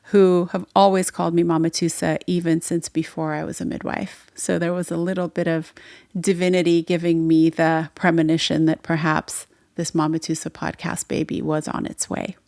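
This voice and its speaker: Woman podcast host, Farsi native speaker, low gravelly voice, dry close sound, reflective storytelling. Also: flat delivery, normal speed, a little mellow, no room sound. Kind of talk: solo podcast monologue.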